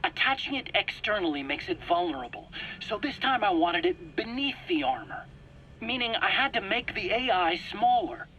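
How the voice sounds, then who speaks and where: A man's voice: high voice, animated, normal speed, pushed and bright, muffled recording, slight background noise. An actor playing an anxious, self-pitying male scientist in a video game cutscene, pleading and stammering indignantly in his own defense. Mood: proud explaining. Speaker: accused scientist under interrogation.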